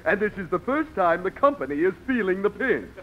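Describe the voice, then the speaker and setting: An actor playing a blunt, grumbling company boss in a 1950s radio comedy, speaking emphatically. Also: male voice, sits high, sing-song, fairly quick. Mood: complaining. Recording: dull, very noisy old radio recording.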